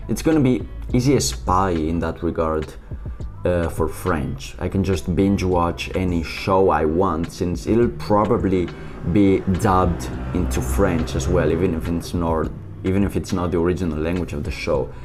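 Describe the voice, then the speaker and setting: A man's voice: deep voice, a little mellow, faint music behind. A young man from Portugal with a European Portuguese accent, a native Portuguese speaker, chatting upbeat in a solo vlog about language learning. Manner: chatty and upbeat, quick asides.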